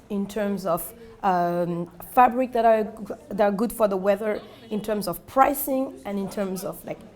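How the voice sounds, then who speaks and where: A woman in her twenties from Senegal, speaking earnestly in a documentary interview in a clothing shop, often restarting her sentences. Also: mid-range voice. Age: 20s. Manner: earnest, self-correcting, many restarts. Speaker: entrepreneur.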